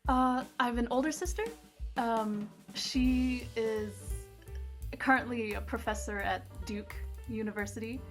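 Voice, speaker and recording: Soft-spoken young woman from Singapore. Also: a little high, steady tone, unhurried, faint music behind. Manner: soft-spoken, hesitant, many ums. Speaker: guest pianist. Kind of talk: casual interview chat.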